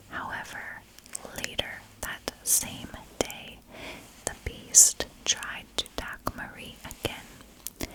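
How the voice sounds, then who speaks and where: A woman whispers a scripted story in the style of an ASMR narrator. Her delivery is very slow and deliberate, with every phrase hushed and unvoiced. It is measured storytelling from start to finish. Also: low-quality recording. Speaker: ASMR storyteller and channel host.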